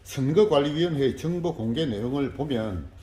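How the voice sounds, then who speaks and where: A man interviewing formally, reading out prepared questions in a measured way. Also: mid-range voice, animated, dark tone. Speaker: interviewer, civic group leader. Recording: echoey room, faint background noise.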